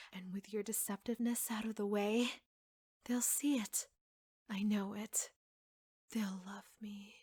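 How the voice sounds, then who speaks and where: A woman playing an obsessive, jealous secretary in an ASMR audio roleplay, soft-spoken and often slipping into breathy whispers. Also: mid-range voice, dry recording. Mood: cold menace. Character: obsessive yandere secretary, devoted, jealous, coldly menacing woman.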